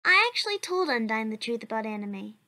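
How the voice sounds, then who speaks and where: A woman's voice: a little high, very animated, fairly quick, exaggerated voice. A woman voicing a cheerful, earnest child who answers questions for a blog in a comic dub. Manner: bright, chatty, earnest.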